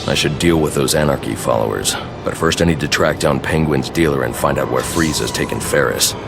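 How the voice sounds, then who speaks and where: A man playing a grim masked vigilante detective in a video game, terse, deliberate and menacing, with a gravelly voice. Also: extremely low pitch. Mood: grim, focused. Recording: loud music behind voice.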